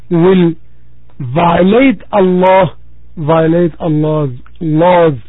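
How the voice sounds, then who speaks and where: A man giving a religious talk to a congregation as preacher, measured and repeating phrases for emphasis. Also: high voice, no room sound. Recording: tinny phone-like, dry sound.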